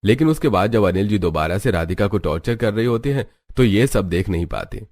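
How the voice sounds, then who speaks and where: A man's voice: fairly low pitch, lively intonation, brisk, a little mellow. A man narrating a scripted moral story as a storyteller.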